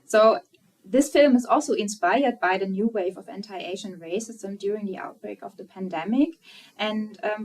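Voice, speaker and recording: Lady interviewer asking stuff politely, lots of ums, mid voice, kinda far from the mic. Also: mid-range voice. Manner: polite, filler-laden questioning. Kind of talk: interview questions. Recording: distant from the mic.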